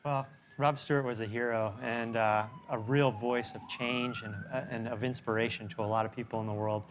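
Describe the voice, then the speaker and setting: A man's voice: mid-range voice, steady tone, moderate pace, background noise. A man being interviewed on TV as a shark conservationist, speaking earnestly. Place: TV studio.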